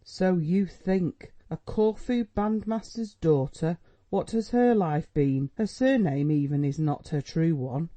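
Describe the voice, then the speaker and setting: A man's voice: a little high, lively intonation, slow pace, mellow, pitched above the performer's own voice. A man doing a scolding, tearful mother. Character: anxious, reproachful middle-aged mother, a woman. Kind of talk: audiobook dialogue reading.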